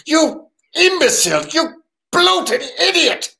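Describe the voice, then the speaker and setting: An actor playing a peevish, volatile criminal accomplice in old-time radio drama style, shrill and easily indignant. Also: male voice, very animated, takes it slowly, vocal fry, sharp tone, exaggerated voice. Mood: furious.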